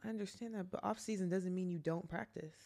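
A woman's voice: fairly low pitch, quick pace, gravelly, a crowd in the background. A woman of twenty-one from Texas, a college basketball player chatting as a podcast guest, hesitant and laid-back, often trailing off. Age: 20s. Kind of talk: casual chat.

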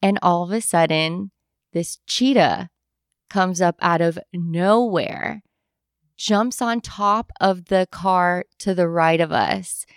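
A young adult woman chatting casually, telling animated travel stories and acting out what people said. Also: fairly low pitch, slow pace, clean tone, dry recording, studio quality. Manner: animated storyteller, acts out quoted voices. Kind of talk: casual chat.

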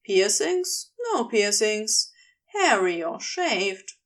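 A woman reading fiction aloud as an expressive scripted narration. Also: mid-range voice, very slow, recorded from a distance. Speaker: fan fiction audiobook reader.

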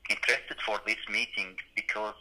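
Guy from syria, syrian accent, slow halting english, super bright voice on the phone. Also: on the low side, fairly even intonation, low-quality recording. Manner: halting, searching for words. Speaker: student guest. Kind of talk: casual interview. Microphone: phone call.

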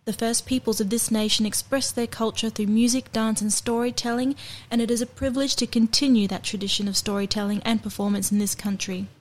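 A woman with a fairly high voice reads a scripted introduction as the show's host. She speaks in a measured, formal manner, carefully paced. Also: fairly even intonation. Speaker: podcast host.

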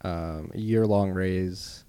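A man's voice: low-pitched, fairly even intonation, warm tone, clear, resonant tone, no echo. A man with a Philadelphia accent, co-hosting a casual podcast, chiming in often and ranting with strong opinions. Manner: quick agreeing interjections, opinionated rants. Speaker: podcast co-host.

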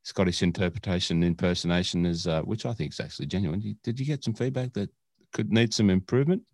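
A man's voice: low-pitched, fast talker, darkish tone, no room sound, studio quality. An Australian man co-hosting a casual podcast, chatting in a rambling, jokey way that often digresses. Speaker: podcast co-host. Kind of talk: casual chat.

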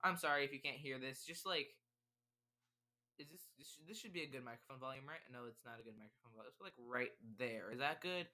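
A man's voice: medium pitch, brisk, bright, forceful tone. A man rambling and thinking aloud as he watches a show and takes notes, stopping often to correct himself. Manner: distracted, mutters words while writing them down. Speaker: video creator taking notes on a show. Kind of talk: casual live commentary while note-taking. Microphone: desk microphone, level changing during recording.

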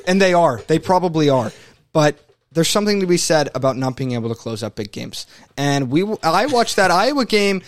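A man's voice: a little high, sing-song, a little fast. A young man co-hosting a casual sports talk show, arguing his points emphatically with frequent restarts. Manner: argumentative, emphatic, rambling restarts. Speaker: sports podcast co-host.

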